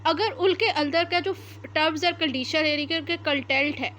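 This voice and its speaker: A woman's voice: very high voice, fairly bright tone, slight background noise. A woman with a Pakistani accent giving an explanatory law lecture, methodical and mixing in English terms as she goes point by point. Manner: methodical, reads formal text slowly then explains it point by point; mixes English terms into Urdu.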